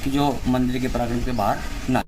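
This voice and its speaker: A man speaks in a flat, medium-pitched voice for a plain news soundbite. Clear ambient noise and vehicles are behind him. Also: fairly quick. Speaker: temple representative interviewed. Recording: noisy location with vehicle sounds.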